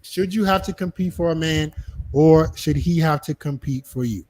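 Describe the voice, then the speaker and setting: A man with a fairly high voice hosts a casual podcast panel. He presses his guests with pointed questions. He keeps cutting in and repeating himself to steer the talk. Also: moderate pace, a little mellow. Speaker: podcast host.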